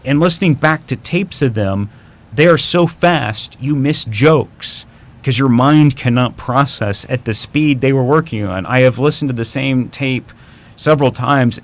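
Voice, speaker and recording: A man speaking as an interview guest, telling stories in a casual, detailed, conversational way. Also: mid-range voice. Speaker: interview guest, radio history expert and documentary maker.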